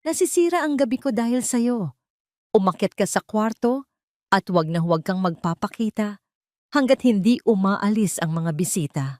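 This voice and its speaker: A woman's voice: medium pitch, lively intonation, moderate pace, a little mellow, no echo, studio quality, pitched above the performer's own voice, close to an everyday voice. A Filipino woman voicing an angry, wealthy older matriarch in an audio drama, scolding and commanding.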